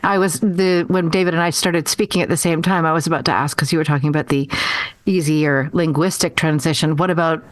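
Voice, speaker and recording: A woman's voice: sits low, fairly even intonation, brisk, no room sound. A woman co-hosting a podcast, asking questions in a responsive, casual way. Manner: responsive, frequent wow and laughs. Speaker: podcast co-host. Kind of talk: casual interview conversation.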